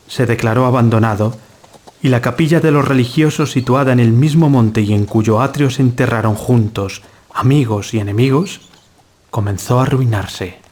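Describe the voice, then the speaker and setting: A man acting as a young nobleman and huntsman in a gothic audio tale. He speaks in a middle-pitched voice with a grave, courtly and declamatory manner, like a formal storyteller. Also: a little mellow, lightly acted.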